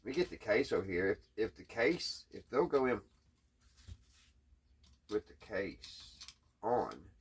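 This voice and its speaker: A man chatting casually in a halting, puzzled, folksy way, thinking out loud as he tinkers. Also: lowish voice, lively intonation, slightly rough. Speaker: host of a radio repair hobby channel. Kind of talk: casual hands-on repair chat, thinking out loud.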